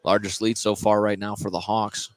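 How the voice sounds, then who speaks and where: Low-voiced man calling live basketball. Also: level tone, moderate pace. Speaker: play-by-play announcer. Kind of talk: live high school basketball radio commentary. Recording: noisy live gym broadcast.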